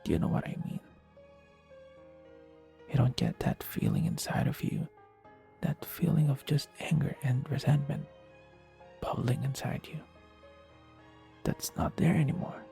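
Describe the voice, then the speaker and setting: A man playing a gentle, caring best friend, speaking in a soft, breathy voice with soothing, earnest, unhurried delivery in an intimate comfort roleplay over music. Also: medium pitch, very animated, heavily acted. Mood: calm, consoling. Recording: music under the voice. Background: soft instrumental music.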